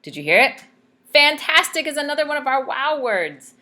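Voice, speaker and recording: A woman reading a story aloud to children in a teacherly, expressive way, pausing to ask questions. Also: sits high. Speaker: speech therapist reading aloud.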